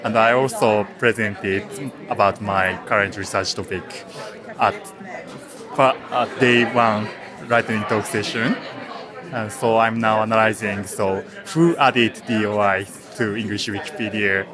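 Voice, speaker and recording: A man with a Japanese accent speaks in a low voice and introduces himself in careful, simple sentences. Around him a crowded room murmurs. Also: steady tone, measured pace, a crowd in the background. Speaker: PhD student. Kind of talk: self-introduction in a group round.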